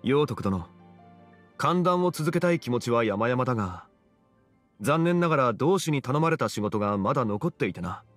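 Man voicing a composed, dignified gentleman, echoing hall. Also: mid-range voice, lively intonation, soft background music, close to an everyday voice. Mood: calm, courteous. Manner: formal, measured, old-fashioned phrasing. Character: calm, dignified gentleman, an unhurried scholarly consultant. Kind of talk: anime game voice acting.

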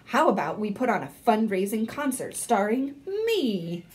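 An earnest, excitable young pig hero who exclaims his lines, as a woman reading a storybook voices him. His pitch jumps around with lots of life. He sounds eager and sincere. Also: unhurried.

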